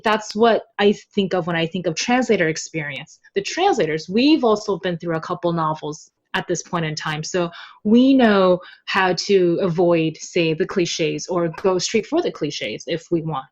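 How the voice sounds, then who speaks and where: A woman's voice: lowish voice, animated, a little slow, lo-fi sound. A woman chatting informally as an interview guest, explaining things in a conversational way. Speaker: podcast guest, web novel translator. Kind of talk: casual interview chat.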